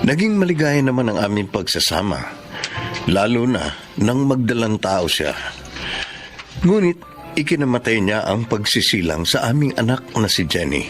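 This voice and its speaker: Low-voiced, reflective Filipino father. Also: sing-song, moderate pace. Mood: tender, sorrowful. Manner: earnest, sentimental. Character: gentle, reflective father telling his life story. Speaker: radio drama actor narrating as the main character. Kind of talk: Filipino radio drama acting. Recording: music bed under the voice.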